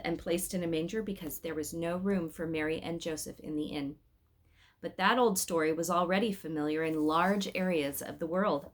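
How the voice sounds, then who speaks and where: A woman reading a story aloud as a scripted narration. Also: fairly low pitch. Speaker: reader of an Advent story.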